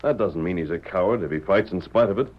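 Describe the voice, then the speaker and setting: Deep american masked cowboy hero, old radio show, firm and in charge, kinda dull lo-fi sound. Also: male voice, breathy edge. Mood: stern, probing. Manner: firm, measured, authoritative. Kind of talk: old-time radio western acting. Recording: muffled vintage radio drama.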